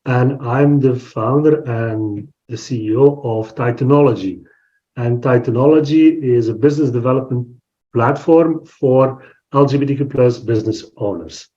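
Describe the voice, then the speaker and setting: A man's voice: mid-range voice, normal speed, warm tone. Man in his forties from belgium, chatting on a podcast, stops and restarts a lot, kinda muffled sound. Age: 40s. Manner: thoughtful, restarts often while searching for words. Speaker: podcast guest. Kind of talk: casual interview chat.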